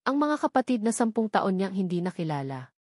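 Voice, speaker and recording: A woman's voice: mid-range voice, very animated, fairly quick, a little mellow, no echo, clean studio sound. A woman with a Filipino accent narrating a scripted dramatic story.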